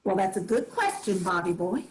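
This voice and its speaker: A woman's voice: mid-range voice, sing-song, moderate pace, a little hiss or hum, lightly acted. A woman reading a folksy grandmother. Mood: fond. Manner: drawling, homespun storytelling. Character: folksy old grandmother, rural storyteller. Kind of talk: staged play reading.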